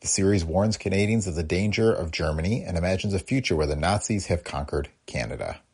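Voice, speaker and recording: A male podcast host reads a scripted introduction. His voice is very deep and gravelly, with a husky edge. The intonation moves around in a lively way. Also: fairly quick.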